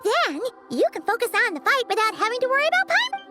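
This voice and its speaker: Squeaky tiny fairy girl. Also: fast, over music. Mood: anxious. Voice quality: squeaky, childlike. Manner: speaks of herself in third person, excitable. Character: tiny floating childlike fairy girl companion, chirpy and cheeky. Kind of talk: video game voice acting.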